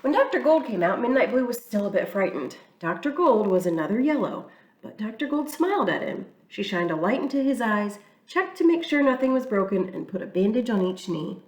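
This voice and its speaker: A woman reading a children's picture book aloud in an expressive, storytelling way. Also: on the high side, fairly quick. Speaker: church reader. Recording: echoey room, mic far off.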